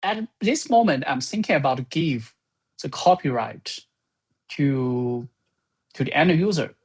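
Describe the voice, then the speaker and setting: A software creator giving an informal spoken summary of business plans in a halting, think-aloud manner. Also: medium pitch, animated, slightly rough.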